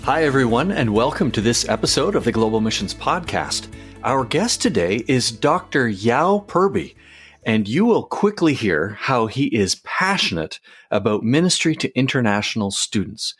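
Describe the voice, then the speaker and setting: A man's voice: medium pitch, sing-song, normal speed, breathy edge, faint music behind. A man hosting an interview podcast, introducing and questioning in a conversational style.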